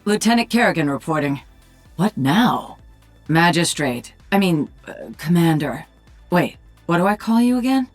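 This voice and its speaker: A woman voicing a sardonic, commanding alien-hybrid warrior queen in a video game, with a husky voice. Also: fairly low pitch, sing-song, moderate pace, faint music behind. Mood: dry, wry. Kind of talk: video game character voice lines.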